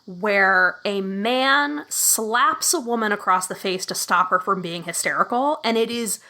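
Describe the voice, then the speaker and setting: A woman's voice: mid-range voice, a little slow, bright, forceful tone. A woman chatting casually as a podcast guest, enthusiastic and full of fillers. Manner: enthusiastic, lots of "like" fillers and laughs.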